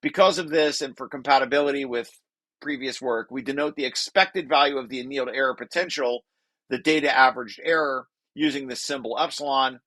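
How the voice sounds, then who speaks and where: A man reading aloud from a technical paper and explaining it informally, halting and correcting himself as he repeats words. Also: medium pitch, fairly bright tone, no echo. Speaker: presenter and paper author.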